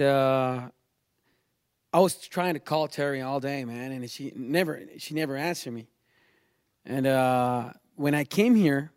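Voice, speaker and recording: Chilean man giving a halting personal testimony. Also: a little high, a little mellow. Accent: Chilean Spanish. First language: Spanish. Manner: halting, informal, peppers talk with "you know" and "man". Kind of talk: personal religious testimony, spontaneous storytelling.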